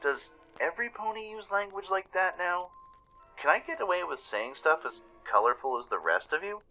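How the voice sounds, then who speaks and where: A man's voice: sits high, animated, normal speed, sharp tone, soft background music, pitched above the performer's own voice, heavily acted, poor audio. A man voicing a meek, anxious, naively curious young pony in a radio play, with flustered questions and stammers.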